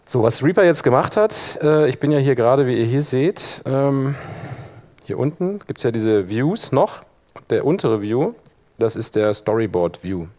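A man teaching a live software workshop, explaining step by step in an informal spoken style. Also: mid-range voice, expressive, a little slow. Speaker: workshop instructor. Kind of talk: live software demonstration to an audience.

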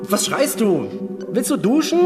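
Man as incredulous spaceman, high voice, very animated pitch, radio play, loud music behind. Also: a little slow. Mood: puzzled, disbelieving. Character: puzzled, disbelieving astronaut crewmate. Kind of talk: science fiction radio play reading. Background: music and sound effects.

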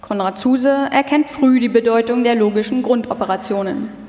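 A woman's voice: on the high side, normal speed, mellow. A woman reading scripted documentary narration as a narrator in a live radio feature. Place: large hall, live stage performance. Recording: echoey hall with long reverb.

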